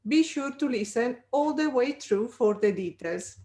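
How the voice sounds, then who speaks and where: A woman hosts an interview podcast, her voice a little higher. She opens with an upbeat scripted welcome and then asks her guest clear, prepared questions. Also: expressive, unhurried, dark tone. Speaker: podcast host.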